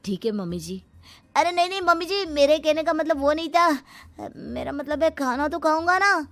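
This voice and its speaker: A woman's voice: sits high, expressive, normal speed, fairly bright tone. A woman voicing a lazy, grumbling young son-in-law in a cartoon story, whiny and evasive. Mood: flustered complaint. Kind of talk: Hindi cartoon story dialogue. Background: background music.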